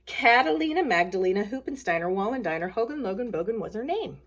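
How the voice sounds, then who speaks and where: A woman with a mid-pitched voice speaks to children in a casual, simple way. She sounds like a school nurse hosting story time, using plain phrasing made for young listeners. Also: animated, fast talker. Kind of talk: casual talk to children and read-aloud storytelling.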